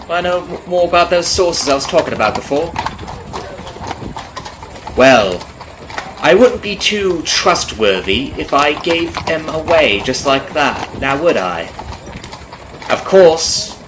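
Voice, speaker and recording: Vengeful male carriage driver, acted by a man, ASMR roleplay. Slightly high, very lively pitch; falsely friendly, taunting, menacing underneath. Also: background noise. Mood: sly, chummy. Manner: taunting, conversational with rhetorical tag questions. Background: clip-clopping horse and carriage ambience.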